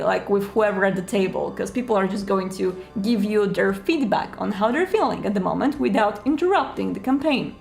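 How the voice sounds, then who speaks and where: A woman's voice: mid-range voice, creaky, a little mellow. A woman host giving chatty, conversational advice to viewers in a casual style. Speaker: host of a roleplaying advice video.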